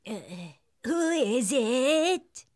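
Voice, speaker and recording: A woman playing a kindly, trusting old grandmother in a children's story, with a faint, quavering, drawn-out voice. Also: high voice, animated, full character voice. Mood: wary. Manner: gentle, drawn-out, welcoming. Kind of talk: children's storybook audio acting.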